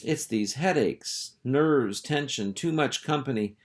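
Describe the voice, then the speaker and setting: A man's voice: mid-range voice, slow pace, voice pushed up, natural voice. A man voicing a fussy, genteel female dog, a long-suffering sister and mother in a storybook, sighing and overly polite. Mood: pained, faint.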